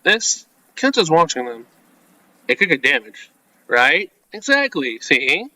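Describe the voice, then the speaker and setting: Male let's-player, forward bright tone, informal read-aloud of visual novel text with off-the-cuff reactions, very dry recording. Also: on the high side, sing-song, slow pace, dry recording, poor audio. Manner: informal, reacts with asides between read lines. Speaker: video game let's-player. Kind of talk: reading visual novel script aloud with casual commentary.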